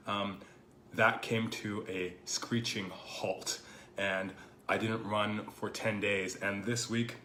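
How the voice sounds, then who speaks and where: A man with a lowish, slightly hoarse voice, still getting over a cold, speaking in a roomy space with a faint hiss behind him. He talks as the host of his own show, thoughtful and rambling, often pausing on "you know" as he works through his ideas. The delivery is casual and personal rather than polished. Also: a bit bright. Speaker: podcast host. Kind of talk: casual reflective monologue. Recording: echoey room with faint background noise.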